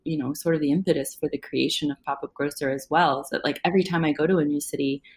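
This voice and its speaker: Woman, podcast guest, low voice, excited, chatty, casual. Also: quick pace, slight background noise. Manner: excited, chatty, laughs easily. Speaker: guest, business founder. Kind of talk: casual interview chat.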